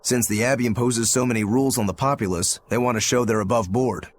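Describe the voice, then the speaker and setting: A man's voice: mid-range voice, fairly even intonation, fast talker, slight background noise, lightly acted. An actor playing a laid-back, worldly male demon in a game, speaking with dry wit. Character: easygoing adult male demon, wry and worldly.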